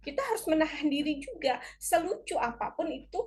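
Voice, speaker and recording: A woman's voice: sits high, big pitch swings, fairly quick, darkish tone. A woman with an Indonesian accent giving an explanatory lecture in a conversational, encouraging teacher's manner, slipping into short example quotes. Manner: didactic, encouraging, conversational, often tagging points with "ya". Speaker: lecturer in language teacher education.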